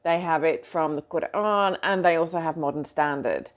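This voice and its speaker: A middle-aged woman with a British accent talks in a slightly low voice. She speaks casually and with animation, filling her speech with ums and friendly asides. She sounds like a coach addressing her followers. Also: moderate pace. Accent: British English. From Britain. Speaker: coach and host. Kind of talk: casual talk to camera.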